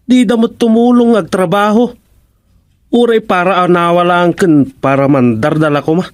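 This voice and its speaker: A man's voice: sits high, moderate pace, close to an everyday voice. An actor with a Filipino accent playing a teasing, exclaiming village watchman, a man in a radio comedy-drama. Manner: exclaiming, repetitive.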